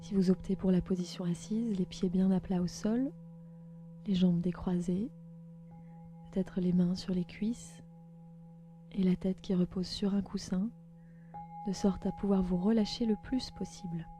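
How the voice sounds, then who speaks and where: A woman host leads a guided relaxation meditation, speaking calmly and gently in slow, spaced instructions. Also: fairly low pitch, soft background music. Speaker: podcast host and yoga nidra guide.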